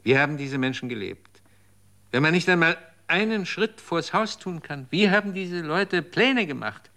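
A man's voice: on the high side, animated, moderate pace, pushed and bright. An actor in a radio drama playing a man who asks probing, incredulous questions. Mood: horrified.

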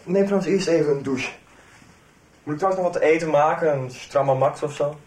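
A man's voice: fairly high pitch, fairly quick, a little mellow, natural voice. An actor playing an easygoing, hospitable man in a radio soap, casual and matter-of-fact. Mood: easygoing, practical. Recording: background noise, room ambience.

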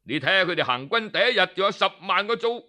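A man's voice: high-pitched, lively intonation, quick pace, pushed and bright, full character voice. A man voicing a proud, boastful male general in a traditional storytelling recording, speaking in a blustering, self-assured way. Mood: gloating.

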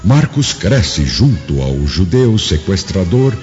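A Brazilian man narrates a radio drama in a deep voice. His delivery is solemn and theatrical, rising and falling widely. The recording is a bit dull, with faint music underneath. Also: very animated, a little mellow. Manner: solemn, theatrical, measured enunciation.

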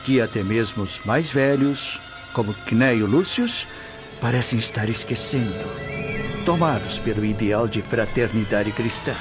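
A man's voice: medium pitch, measured pace, music in the background, close to an everyday voice. An actor with a Brazilian accent playing a stern, conservative Roman grandfather in a radio drama. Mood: grave, grateful. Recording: dull, muffled studio track.

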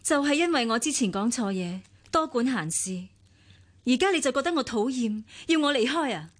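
An actress playing a wounded, bitter office secretary in a radio drama, with a Hong Kong Cantonese accent. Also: female voice, sits high, animated, normal speed, in a large hall. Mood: hurt.

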